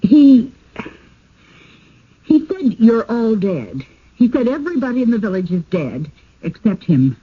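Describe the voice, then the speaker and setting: An actress playing a hesitant, uneasy but reasonable wife in a radio drama, speaking haltingly. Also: female voice, fairly high pitch, big pitch swings, breathy edge, exaggerated voice. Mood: anxious. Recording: dull old radio recording.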